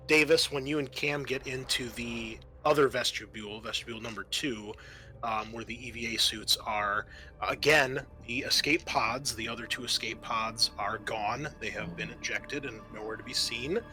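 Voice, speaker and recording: A man working as game master, improvising narration for a tabletop roleplaying game in a halting, thinking-aloud way. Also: medium pitch, fairly even intonation, pushed and bright, soft background music, low-quality recording.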